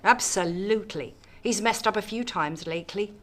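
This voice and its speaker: A woman acting in a radio drama as a calculating, ambitious politician, measured, dry and self-assured. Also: medium pitch, lightly acted. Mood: scheming, smug. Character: cool, ambitious, calculating female government politician.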